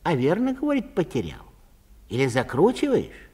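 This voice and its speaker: A man's voice: fairly high pitch, huge pitch swings, moderate pace, a little mellow, slightly rough, heavily acted. A man playing a suspicious, officious house chairman in a comic story, speaking colloquially. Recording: long hall reverb.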